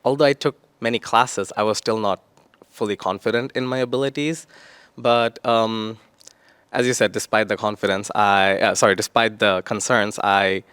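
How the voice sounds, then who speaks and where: Low-voiced young man, smooth and dry. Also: steady tone, moderate pace, a bit bright, no room sound. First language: not English. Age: young adult. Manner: thoughtful, with fillers and self-corrections. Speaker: senior teaching assistant. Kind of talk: interview answer.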